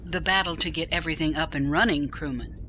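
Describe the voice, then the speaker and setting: A woman playing a dutiful starship captain in an audio drama, with a dry, measured delivery. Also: sits low, sharp tone, slight background noise. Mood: brisk, official. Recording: music behind the voice.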